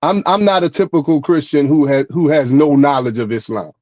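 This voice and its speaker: A man co-hosting a podcast who explains his views in a measured way, often checking in with "right?". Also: on the high side, darkish tone, no room sound, muffled recording. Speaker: podcast co-host. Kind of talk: casual podcast conversation.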